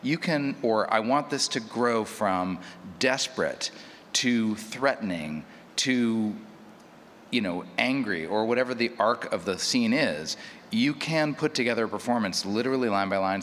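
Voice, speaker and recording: A man's voice: fairly low pitch, fairly bright tone. A man speaking off the cuff as a panelist, thoughtfully explaining and feeling his way through his points. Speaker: panelist, audio drama producer. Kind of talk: unscripted panel discussion. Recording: echoey hall, clear background noise.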